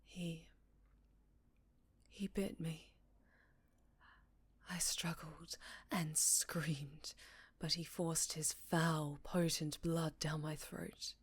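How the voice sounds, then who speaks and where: A woman playing a haunted, sorrowful vampire in an ASMR roleplay, telling her tale slowly with a Southern accent and a breathy voice that often slips into whispers. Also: low-pitched, little pitch movement, no echo, heavily acted. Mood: haunted, fearful. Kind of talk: ASMR roleplay, intimate dramatic storytelling.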